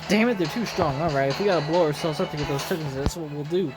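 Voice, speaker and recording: A man voicing a desperate, rough and pushy gambling ruffian in a video game, with music behind. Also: a little high, fast, close to an everyday voice, poor audio. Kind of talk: reading game story dialogue aloud.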